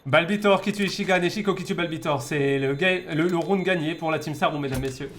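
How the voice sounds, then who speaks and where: Higher-voiced male game caster, noisy stream. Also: fairly quick. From France. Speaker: esports caster. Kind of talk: live video game match commentary with casual asides. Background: game gunfire and clicks.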